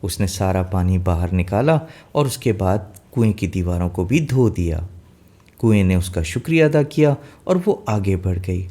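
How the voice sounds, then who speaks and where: A man's voice: low voice, lively intonation, normal speed, dark tone. A man narrating a scripted children's fairy tale in simple language, mixing in some English words. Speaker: storyteller.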